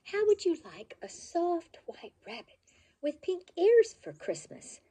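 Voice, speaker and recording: A woman's voice: very high voice, huge pitch swings, slow pace, dark tone, voice pushed up, exaggerated voice. A woman voicing a patient mother dog who coaxes and questions her pup. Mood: coaxing. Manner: coaxing, questioning.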